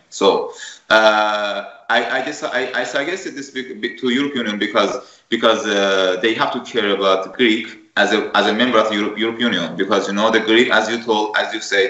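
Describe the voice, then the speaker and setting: Iranian man in halting, echoey English. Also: on the low side, sharp tone. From Iran. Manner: halting non-native English, searching for words. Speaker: refugee guest. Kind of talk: video call interview. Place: room with bookshelves. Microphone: headset. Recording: echoey room, dull lo-fi video call audio.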